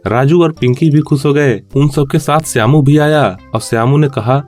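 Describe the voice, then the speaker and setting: A man's voice: mid-range voice, normal speed, a little mellow. Male story narrator over music. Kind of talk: scripted children's story narration.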